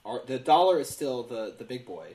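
A man co-hosting a comedy news podcast, explaining things casually with pauses in mid-phrase. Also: medium pitch, very animated, a little mellow, slight background noise. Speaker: podcast co-host.

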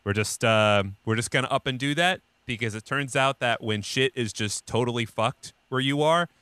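A man's voice: medium pitch, moderate pace, sharp tone, smooth, dry recording. A man co-hosting a casual comedic news podcast, rambling and colloquial, with some swearing. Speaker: podcast host.

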